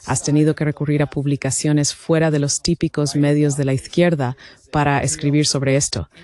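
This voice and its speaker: A man's voice: on the high side, a little mellow. A man doing a voice-over of a speaker in a political panel discussion.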